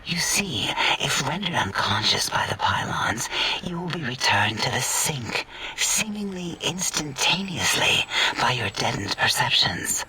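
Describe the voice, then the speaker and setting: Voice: mid-range voice, big pitch swings, measured pace, sharp tone, far from the mic, a little hiss or hum, full character voice, rough recording. A performer voicing a sultry, doting, clinical robotic scientist in a sci-fi comedy game, coy and whispery.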